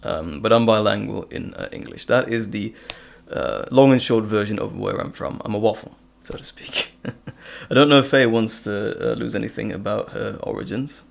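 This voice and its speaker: A man's voice: lowish voice, normal speed, gravelly. A man from Belgium with a Flemish accent whose first language is Dutch, hosting a live stream in casual, stop-start chat and laughing often. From Flemish region of Belgium.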